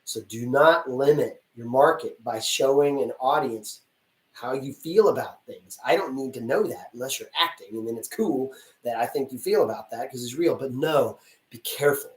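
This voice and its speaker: A man's voice: medium pitch, normal speed. A man working as a casting director, giving blunt, chatty advice to actors in a live Q&A and acting out little dialogues as he goes. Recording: roomy sound from a distance.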